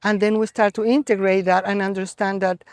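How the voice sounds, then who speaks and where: A middle-aged woman from Colombia with a Colombian Spanish accent, giving an earnest, reflective spiritual talk to a gathering, with many pauses and fillers. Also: medium pitch, flat delivery, darkish tone, no room sound, clean studio sound. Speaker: gathering organizer.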